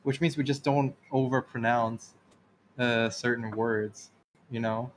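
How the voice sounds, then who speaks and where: A man's voice: mid-range voice, fairly even intonation, unhurried, slight background noise. A man with a Taiwanese accent chatting casually on a stream, rambling through anecdotes and laughing often. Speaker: stream co-host. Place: lives in Ireland.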